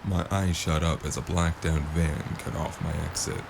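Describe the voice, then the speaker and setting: An actor playing a cynical, deadpan mercenary in a noir audio drama, with a deep gravelly voice. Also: male voice, moderate pace, background noise. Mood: weary narration. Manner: sardonic, deadpan. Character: cynical, sarcastic mercenary man narrating his own noir tale.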